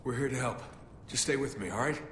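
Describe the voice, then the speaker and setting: A man's voice: medium pitch, a little fast, fairly bright tone, slight background noise, natural voice. A man playing a resolute, commanding special forces captain who talks tersely in a video game. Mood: pressing concern. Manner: terse, authoritative. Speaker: squad leader. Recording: echoey, music behind.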